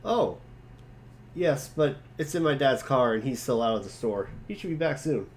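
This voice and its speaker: A man voicing an easygoing, slightly exasperated teenage boy in a casual, expressive amateur dramatic reading. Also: medium pitch, moderate pace, natural voice.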